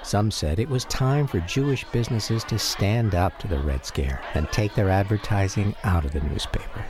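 An American man giving a measured, reflective first-person narration for a radio documentary drama. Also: fairly low pitch, a loud crowd, very noisy.

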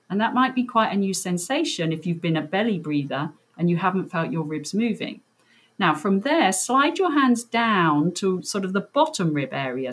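A woman with a fairly low voice guides listeners through a breathing meditation. She speaks gently and reassuringly, in an unhurried way, with soft pauses between instructions.

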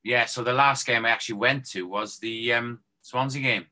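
A man's voice: medium pitch, steady tone, moderate pace, bright, forceful tone. An Irish man, a former footballer, chatting casually and joking as a guest on a phone-in show. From Ireland. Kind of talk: casual chat.

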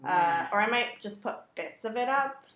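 A woman's voice: medium pitch, animated, normal speed, crowd noise. A woman co-hosting a podcast, chatting casually with friends and trailing off into asides. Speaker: podcast co-host. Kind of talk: casual chat. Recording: roomy echo.

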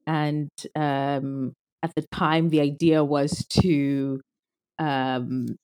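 A young woman from Zimbabwe with a notably low voice talks through her story as a podcast guest. She is thoughtful and casual, often restarting her sentences as she thinks. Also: steady tone, extremely slow, mellow, clear, resonant tone. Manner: thoughtful, with many restarts and fillers. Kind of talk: casual conversational interview.

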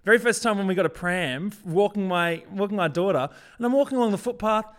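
An Australian man with a distinctly high voice for a male speaker. He talks casually and reflectively, sharing anecdotes. He is emphatic, building each point phrase by broken phrase. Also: quick pace, very noisy.

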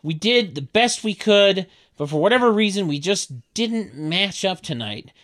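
A man's voice: sits high, lively intonation, normal speed, fairly bright tone, pitched above the performer's own voice. A man playing an earnest amateur sports captain consoling his teammates in a sitcom table read.

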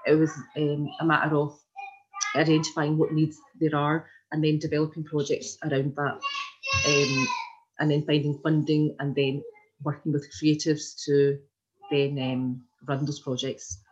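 A woman's voice: deep voice, level tone, unhurried, slight background noise. A woman in her forties with a Glaswegian Scottish accent answers informally in an interview over a video call, in a hesitant, meandering way with frequent repetitions. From Glasgow, Scotland. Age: 40s. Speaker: interviewee, community development worker. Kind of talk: informal oral history interview. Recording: muffled lo-fi video call audio.

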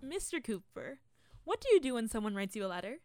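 Woman playing a driven, indignant lady investigator in a radio play, dry recording, highish voice. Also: sing-song, fast, warm tone, dry recording. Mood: urgent. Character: determined, indignant woman investigator seeking a missing girl.

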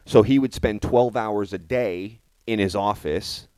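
A man hosts a current-affairs podcast in a low, deep voice. He sounds indignant and emphatic, repeating phrases to drive his point home. The sound is dry and close, with no room echo. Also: measured pace, dark tone, smooth. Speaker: podcast host. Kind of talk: opinionated current-affairs commentary.

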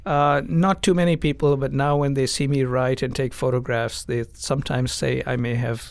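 A man's voice: medium pitch, little pitch movement, moderate pace, creaky, no echo. A man talking casually as a guest on a podcast. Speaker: podcast guest, strategy executive. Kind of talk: casual chat.

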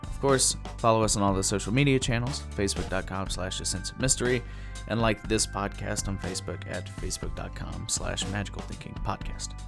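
A man in his twenties hosting a podcast in a casual, upbeat way, his voice hoarse and worn. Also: lowish voice. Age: 20s. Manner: upbeat, laughs easily. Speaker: podcast host.

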